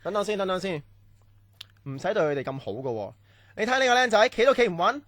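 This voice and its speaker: A man's voice: high voice, sing-song, fast, fairly bright tone, no room sound. A man acting a strict, domineering training-camp instructor in a Cantonese radio drama, barking orders and scolding. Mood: stern, bossy.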